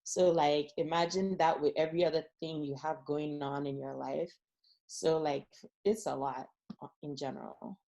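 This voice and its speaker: A woman's voice: low-pitched, fairly even intonation, normal speed, gravelly, warm tone, rough recording. A woman from Nigeria speaking as a panelist in a casual way, with many "like" fillers. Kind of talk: panel discussion.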